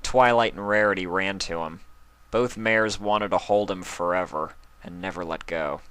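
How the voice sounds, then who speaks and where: A man narrating a fan fiction story in a calm, steady storytelling manner. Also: low-pitched, moderate pace, smooth, dry recording. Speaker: reader.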